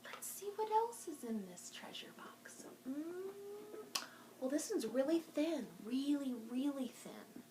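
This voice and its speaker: Slow-paced, high-voiced woman hosting children's storytime. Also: expressive, noisy background. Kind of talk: talking to young children in a craft and show-and-tell video.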